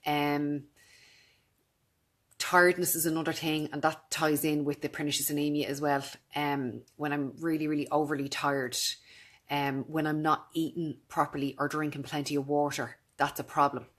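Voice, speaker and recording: A woman in her thirties with a naturally low voice, talking earnestly and directly to her camera in a vlog. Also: little pitch movement, moderate pace. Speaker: vlogger. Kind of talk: casual talk to camera.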